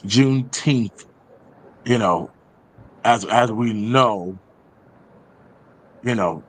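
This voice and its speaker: A man's voice: fairly low pitch, extremely slow, low-quality recording. A man hosting a show, chatting casually through announcements and often repeating phrases for emphasis. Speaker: show host and playwright.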